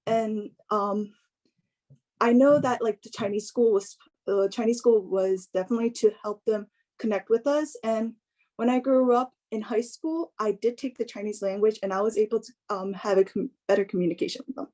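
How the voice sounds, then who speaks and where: A young woman giving an informal personal talk in a hesitant, halting way, often filling in with "like". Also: medium pitch, mellow. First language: English. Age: young adult.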